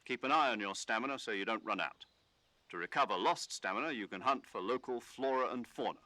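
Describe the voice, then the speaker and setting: An actor playing a calm, authoritative military commander, giving measured, scripted mission briefings in a video game. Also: male voice, medium pitch, no echo, lightly acted. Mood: instructive.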